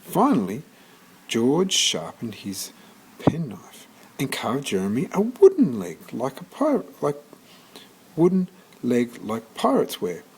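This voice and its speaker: A man reading a children's story aloud in an expressive storytelling manner, occasionally stumbling and restarting. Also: medium pitch, slow pace, slight background noise, low-quality recording. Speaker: storybook reader.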